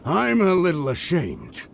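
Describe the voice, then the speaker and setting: An actor voicing a grateful, abashed male warrior with a gravelly voice in a video game. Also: on the high side, huge pitch swings, slow, slight background noise, exaggerated voice. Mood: sheepish, grateful.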